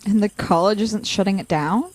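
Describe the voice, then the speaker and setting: A woman's voice: medium pitch, normal speed, dry recording, close to an everyday voice. A woman playing a sarcastic young college student villain in a naturalistic audio drama, with a dry, teasing manner. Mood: casual. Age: young adult.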